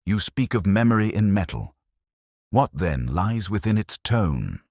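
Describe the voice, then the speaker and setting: A man voicing a shrewd, commanding Victorian detective in a story, calm, grave and deliberate. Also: lowish voice, no echo, clean studio sound, pitched above the performer's own voice, exaggerated voice. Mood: stern. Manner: terse, deliberate, authoritative.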